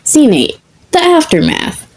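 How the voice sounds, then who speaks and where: A woman reading comic fan fiction as scripted narration, in a measured, deliberate manner. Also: high-pitched, huge pitch swings. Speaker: podfic reader.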